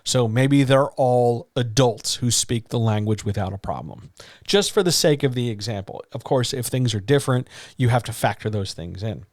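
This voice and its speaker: A man with a deeper voice teaching writing in a loose, conversational way, full of fillers like so, like and um. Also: moderate pace, no room sound, clean studio sound. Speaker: writing coach. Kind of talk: live-streamed Q&A lesson.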